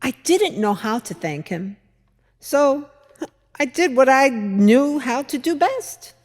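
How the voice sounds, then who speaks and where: A woman playing an earnest biblical widow, a mother-in-law telling her story as a measured, expressive dramatic monologue with deliberate pauses. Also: high-pitched, heavily acted. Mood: joyful wonder. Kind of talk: dramatic monologue reading in church. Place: large church, indoors. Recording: echoey church hall, long reverb.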